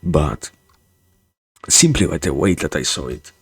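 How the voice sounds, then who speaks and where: A man's voice: a bass voice, lively intonation, unhurried, breathy edge. A young man with a non-native English accent, chatting on a livestream in a hesitant, rambling way, repeating words and getting wryly sarcastic. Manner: wry sarcasm. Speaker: virtual streamer. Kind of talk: casual livestream chat.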